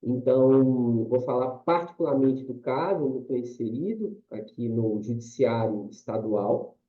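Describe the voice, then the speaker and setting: A Brazilian man, a Portuguese speaker, presenting in an online class, slow and apologetic, with fillers. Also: mid-range voice, faint music behind. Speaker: course participant. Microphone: video call. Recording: dull video call audio.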